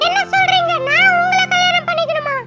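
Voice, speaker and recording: A woman's voice: very high voice, fairly even intonation, quick pace. A woman voicing a sweet, earnest young female crow in a cartoon, polite and childlike in manner. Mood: concerned, candid. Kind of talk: Tamil moral-story cartoon dub. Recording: loud background music.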